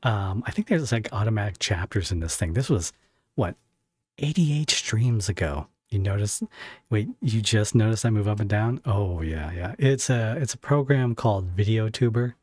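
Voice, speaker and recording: A man's voice: on the low side, animated, normal speed, a little mellow, no room sound. A man streaming from home, chatting casually with viewers in a rambling, self-interrupting way and laughing often. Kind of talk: casual livestream chat with viewers.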